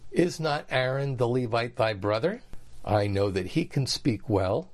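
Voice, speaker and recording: A man's voice: medium pitch, lively intonation, measured pace. A man reading scripture aloud and explaining it in plain, everyday words as a Bible teacher. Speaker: preacher.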